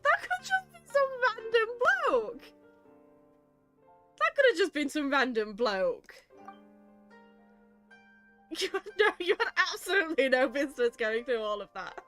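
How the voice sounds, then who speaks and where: High-voiced woman gaming and commenting. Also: very animated, unhurried, pauses often, bright, forceful tone. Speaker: psychologist streamer. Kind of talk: casual gameplay commentary. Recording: game music behind. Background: game music.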